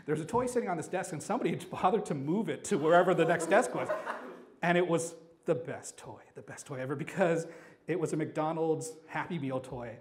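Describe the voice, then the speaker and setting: A man telling a comic personal anecdote live at a storytelling event, rambling and animated, acting out bits of dialogue. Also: fairly high pitch, fairly quick, a little hiss or hum.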